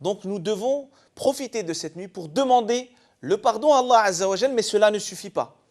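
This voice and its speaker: A man's voice: sits high, lively intonation, a little fast, darkish tone. A man from eastern France giving an explanatory religious talk as a panel guest, in a didactic way with rhetorical questions.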